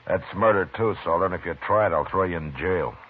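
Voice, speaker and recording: Very deep-voiced, laconic frontier marshal. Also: male voice, fast. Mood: firm. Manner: clipped, laconic, unruffled questioning. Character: calm, stern frontier marshal of the Old West. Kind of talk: 1950s radio western acting. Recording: muffled, noisy 1950s radio recording.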